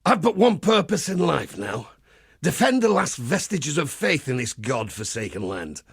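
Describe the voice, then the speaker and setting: Gravelly, zealous crusading holy warrior. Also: male voice, fairly high pitch, big pitch swings, moderate pace, no echo, clean studio sound, heavily acted. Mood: steady, comradely. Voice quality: gravelly, rasping. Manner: preacherly, blunt, wry, archaic turns of phrase. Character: zealous battle-hardened crusading holy warrior, grimly cheerful, foul-mouthed. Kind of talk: theatrical video game character dialogue.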